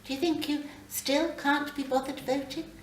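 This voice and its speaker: A woman's voice: high-pitched, fairly even intonation, moderate pace, pitched above the performer's own voice, natural voice. A woman voicing a reasonable, slightly impatient female ghost guide from a short story, in a lecturing manner. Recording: roomy, distant mic, faint background noise.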